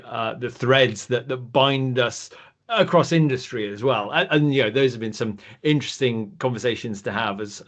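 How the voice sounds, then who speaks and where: A man co-hosting a podcast in an easy conversation. His voice sits at a middle pitch, and he rambles a little, with many "you know" fillers. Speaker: podcast co-host.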